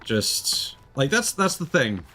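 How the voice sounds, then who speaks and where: A man's voice: mid-range voice, big pitch swings, takes it slowly, sharp tone. A man giving casual, rambling, enthusiastic video game commentary as a livestreamer, swearing freely. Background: game music.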